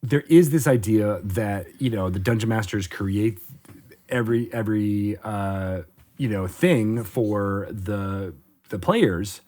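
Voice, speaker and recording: A man with a lowish voice. He hosts a podcast, responding to his guests in a casual, conversational way. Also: slow pace, a little mellow. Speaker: podcast host. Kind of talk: casual interview hosting.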